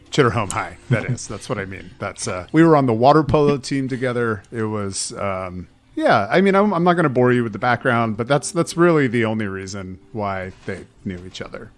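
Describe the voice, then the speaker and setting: A man with a mid-pitched voice talks casually as a tabletop player on a podcast panel. He rambles and improvises, keeping things jokey. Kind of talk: casual group chat.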